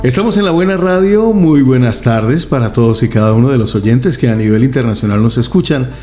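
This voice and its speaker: A man's voice: medium pitch, brisk, dark tone, faint music behind. A man hosting a radio interview, enthusiastic and given to exclamations, with hesitant fillers between phrases. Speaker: radio host.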